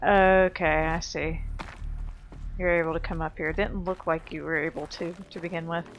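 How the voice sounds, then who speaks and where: Woman doing a lets play, lowish voice, chatty and informal, thinking out loud, bit of music behind. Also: steady tone, moderate pace, lo-fi sound. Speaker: let's player. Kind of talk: casual gameplay commentary.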